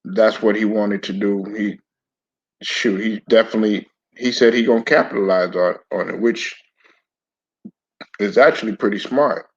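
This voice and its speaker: Raspy-voiced man with a throat bug. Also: lowish voice, moderate pace, pauses often. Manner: laid-back, stop-start, repeats words. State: hoarse from a sore throat, clearing throat. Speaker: sports commentary channel host. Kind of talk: casual live-stream talk to viewers. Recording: dull lo-fi home recording.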